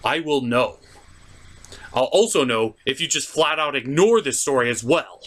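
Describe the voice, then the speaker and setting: A man's voice: medium pitch, lively intonation, moderate pace, fairly bright tone. A man hosting a video review, giving enthusiastic, theatrical commentary with emphatic, stretched-out words.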